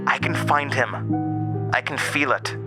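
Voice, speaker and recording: A man's voice: mid-range voice, slow, over loud music, pitched above the performer's own voice, natural voice. An actor voicing a good-natured, cocky and determined teenage boy at camp in a horror audio drama. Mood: confident, determined.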